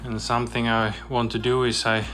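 A young adult man talking casually as a vlogger, in a halting, reflective way. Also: medium pitch, little pitch movement, a bit bright, faint music behind. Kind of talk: casual vlog narration.

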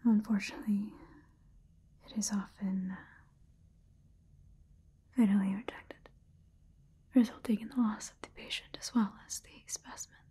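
A woman in her twenties playing a calm scientist, dictating haltingly in a breathy whisper in an ASMR roleplay. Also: mid-range voice. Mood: clinical, hushed. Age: 20s. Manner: slow, halting, clinical dictation. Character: calm, clinical female scientist examining an alien-infected patient.

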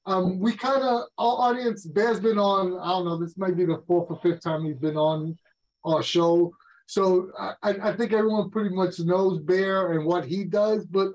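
Male co-host with a high voice, casual livestream interview style. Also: darkish tone, poor audio. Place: indoors on a video call.

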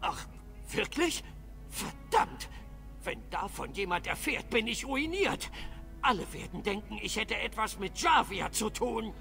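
A high, creaky-voiced dwarf shopkeeper in a fantasy game. He is agitated and indignant, stammering through whiny complaints, and his pitch jumps about. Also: lively intonation, a little slow, bright, forceful tone, faint music behind, heavily acted. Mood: shocked, worried. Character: flustered, indignant dwarf shopkeeper, middle-aged man. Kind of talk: video game voice acting.

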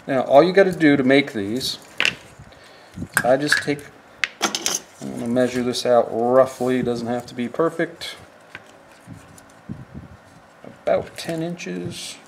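Unhurried male instructor talking through a table-top demo, plain and practical. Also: mid-range voice, vocal fry, noisy background. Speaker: fishing tackle instructor. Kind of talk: hands-on how-to demonstration. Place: indoors at a work table.

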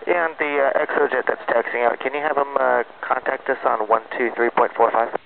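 A man's voice: mid-range voice, steady tone, a little fast, gravelly, slight background noise. A man, a pilot making an informal call over aviation radio. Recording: muffled aviation radio transmission.

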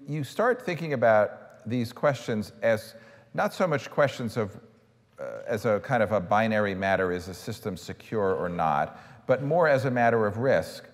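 A middle-aged man on a stage panel who speaks haltingly, often repeating words while he thinks. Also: fairly low pitch, big-room sound. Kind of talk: panel discussion. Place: large auditorium stage. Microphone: lapel mic.

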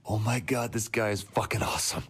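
An actor playing a brash, swaggering gang boss in a video game, speaking bluntly and casually. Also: male voice, lowish voice, little pitch movement, fairly quick, a bit bright, lightly acted. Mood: starstruck. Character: brash, cocky, foul-mouthed young gang boss.

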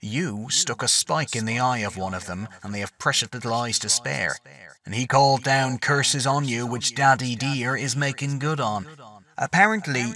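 A man's voice: medium pitch, close to an everyday voice. A man doing a wry ghostly seer. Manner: quiet, dry and teasing. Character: wry blind male seer's ghost, amused and knowing.

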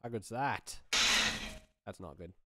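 A man's voice: lowish voice, lively intonation, slow, very noisy. A man in his thirties giving a casual demonstration as a sound designer, thinking aloud at a close mic. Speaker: sound designer, tutorial host.

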